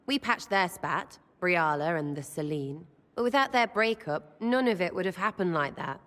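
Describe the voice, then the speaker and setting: An actress playing a brash, irreverent young archer with a British accent in a video game, blunt and colloquial. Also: female voice, fairly low pitch, animated, moderate pace, a bit bright. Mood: cheeky, dismissive. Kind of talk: dramatic video game dialogue. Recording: reverberant room.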